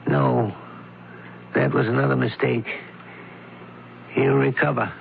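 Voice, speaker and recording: A man playing a shrewd, composed doctor sleuth with a measured, probing, courteous manner in a radio drama. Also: medium pitch, fairly even intonation, vocal fry. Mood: concerned. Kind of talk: old-time radio drama acting. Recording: thin, noisy phone-like vintage radio recording.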